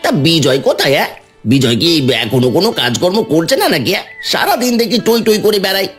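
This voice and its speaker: A woman's voice: on the low side, animated, faint music behind, lightly acted. A woman voicing a nosy, meddling village man in a cartoon who pries and gossips. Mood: prying. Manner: prying, rapid nagging.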